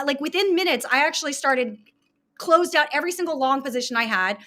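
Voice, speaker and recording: A woman's voice: high voice, a bit bright. A woman giving an informal, opinionated analysis of markets as an investor, speaking emphatically as she talks through her slides.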